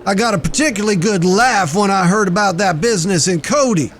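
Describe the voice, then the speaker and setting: A jovial frontier marshal, played by a man, pitched very high for a male voice. He talks in folksy, salty cowboy phrases, as in a Western audio drama. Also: a little fast, a bit bright. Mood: jovial, teasing. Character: jovial, foul-mouthed frontier US marshal, old frenemy.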